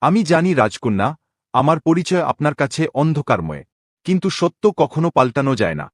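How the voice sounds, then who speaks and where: A man voicing a solemn, respectful young prince in an animated story, speaking formally and gravely. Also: a little high, brisk, dry recording, clean studio sound. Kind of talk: dramatic Bengali animated story dialogue.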